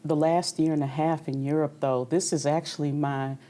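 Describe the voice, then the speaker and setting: A woman's voice: low-pitched, steady tone, moderate pace, mellow. A young woman opera singer from Kentucky, talking candidly and easily about her career in an interview. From Kentucky, USA. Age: young adult. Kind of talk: conversational interview.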